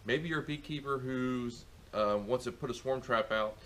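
A man's voice: medium pitch, moderate pace, a bit bright, slight background noise. A middle-aged man giving a casual outdoor how-to as a beekeeper, rambling with many fillers and restarts, recorded on a camera mic in a farmyard.

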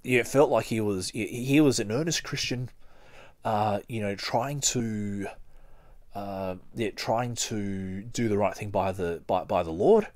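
A man with a fairly low voice hosts a podcast in a dry, close sound. He moves between reading aloud and casual commentary. His delivery is halting and rambling. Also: darkish tone, no room sound. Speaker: podcast host.

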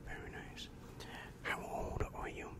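Young man whispering as a weary demon counselor. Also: lightly acted. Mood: businesslike. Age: young adult. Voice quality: breathy whisper. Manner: slow, repetitive, patronizing reassurance. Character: weary, exasperated male demon guidance counselor in hell. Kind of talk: ASMR roleplay. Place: small dim room indoors. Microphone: large microphone very close to mouth.

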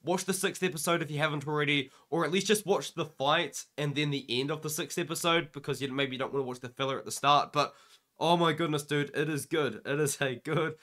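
A sick young man, losing his voice, giving an excited, rambling casual reaction vlog into a webcam indoors. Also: a little high. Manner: excited, rambling, says "man" and "dude" a lot. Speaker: MMA YouTuber.

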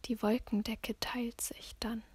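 Female poetry reader: hushed, near-whisper, even and restrained in pitch, very dry close sound, measured phrasing with long pauses. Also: slightly rough, no room sound. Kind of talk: spoken-word poetry reading, slow and sparse.

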